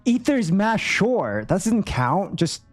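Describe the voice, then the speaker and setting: A woman's voice: medium pitch, big pitch swings, takes it slowly, soft background music. A woman live streaming a video game with casual, slangy commentary. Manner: slangy, informal.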